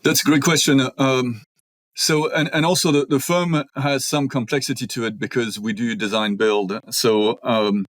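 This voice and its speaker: A man's voice: mid-range voice, fairly even intonation, moderate pace, a bit bright, no room sound. A middle-aged Belgian man, French his first language, speaking as an architect guest in an interview, thoughtful with many ums and restarts. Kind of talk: conversational interview.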